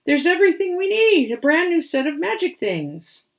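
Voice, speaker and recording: A woman's voice: very high voice, sing-song, moderate pace, voice pushed up, exaggerated voice. A woman voicing a cheeky, loyal young badger in a storybook, declaiming like a little showman. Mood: proud.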